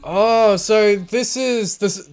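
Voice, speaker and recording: A man giving excitable, rambling commentary on a visual novel he is playing, often breaking off mid-sentence. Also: high-pitched, drawn-out words, clean tone. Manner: excitable, rambling and self-interrupting. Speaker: let's player. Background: music.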